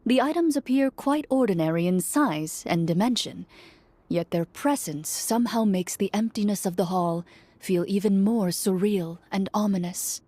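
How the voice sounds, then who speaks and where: A woman acting as a composed game master, narrating a fantasy adventure in a measured storytelling manner. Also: medium pitch, dark tone, dry recording, close to an everyday voice. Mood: measured, ominous. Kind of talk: scripted atmospheric narration. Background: background music.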